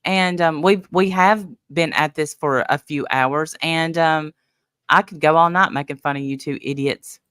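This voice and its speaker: A woman's voice: low voice, unhurried, a bit bright, clear, resonant tone, no echo, studio quality. A woman from Tennessee with a Southern accent, hosting a livestream and trading blunt, mocking banter.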